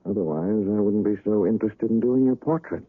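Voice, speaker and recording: Earnest painter in radio play, reflective, husky voice, thin old radio sound. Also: male voice, on the low side, a little fast. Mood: absorbed. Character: earnest, puzzled portrait painter. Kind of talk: old-time radio drama acting. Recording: narrow-band old radio broadcast.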